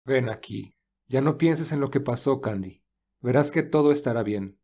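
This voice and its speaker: A man playing a calm, gentle, protective young man in a romance audio story, speaking in a measured, reassuring way. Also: medium pitch, lightly acted. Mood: tender, reassuring.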